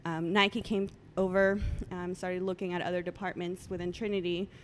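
A woman in her late twenties, raised with Spanish as her first language, talks as a guest in a low, soft voice full of ums. A faint rumble of noise sits under her speech. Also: flat delivery, moderate pace. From El Salvador. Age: 20s. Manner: soft-spoken, many ums, laughs often. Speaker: guest photographer. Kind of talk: casual interview chat.

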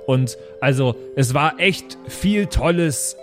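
A man's voice: mid-range voice, lively intonation, takes it slowly, soft background music. A man playing a fretful, naive dwarf brother in improvised tabletop role-play, chatty, fussy and whining. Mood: plaintive.

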